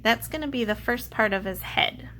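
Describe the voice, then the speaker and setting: A woman's voice: fairly high pitch, normal speed, sharp tone, slight background noise. A woman teacher talking to children in simple, playful, step-by-step language, as in a read-aloud and drawing lesson. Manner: simple, child-directed explanations with playful asides. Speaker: elementary art teacher.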